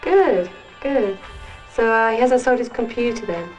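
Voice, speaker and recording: An actress as a chatty young British mother making a phone call, her voice fairly high. It is an acted scene from a 1980s public safety film. Loud music runs over the old soundtrack. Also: measured pace, lightly acted. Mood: chatty, bright.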